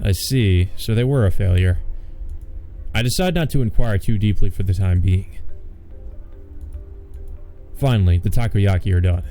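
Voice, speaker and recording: A man's voice: fairly low pitch, moderate pace, pauses often, mellow, soft background music. A man hosting a let's play, reading visual novel text aloud and adding informal, joking commentary.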